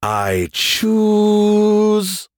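A man voicing a smug, mischievous artificial intelligence in an audiobook, with a theatrical, drawn-out delivery. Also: fairly high pitch, big pitch swings, no echo, studio quality, voice pushed up. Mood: teasing, dramatic. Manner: showy, drawn-out dramatic delivery.

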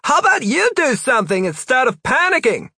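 A man voicing frantic male dragon riders who bicker in high, whiny voices. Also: sing-song, a little fast, bright, forceful tone, husky, no echo, studio quality, exaggerated voice. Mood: exasperated.

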